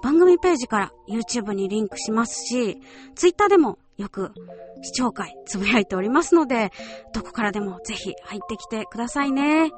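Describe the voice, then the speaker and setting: A woman presenting a solo radio show, addressing listeners directly in a polite, explanatory way. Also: on the high side. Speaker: radio show host, voice actress.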